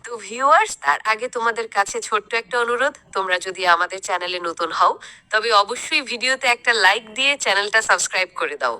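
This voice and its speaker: A woman's voice: mid-range voice, expressive, fast, bright, forceful tone, dry recording. A woman reading a scripted request to channel viewers. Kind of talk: scripted channel promo read.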